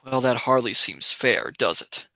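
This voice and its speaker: A sardonic young male space traveller, acted by a voice actor in a radio play. The pitch swings widely and the tone is bright. The sound is dry and close to the mic. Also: animated, unhurried, no echo, exaggerated voice. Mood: wry. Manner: sarcastic, wheedling.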